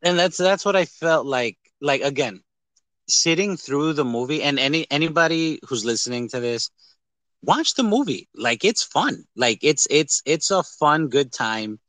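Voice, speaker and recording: A man in casual, animated conversation as a podcast host, slipping into imagined quotes as he goes. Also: fairly high pitch, a little slow, no echo. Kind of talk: casual movie chat.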